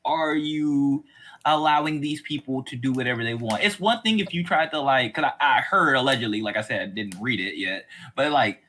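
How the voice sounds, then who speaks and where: A man's voice: medium pitch, moderate pace, pushed and bright. A man chatting casually and giving opinions, rambling colloquially and repeating himself for emphasis. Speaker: podcast host. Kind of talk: casual opinionated chat about TV.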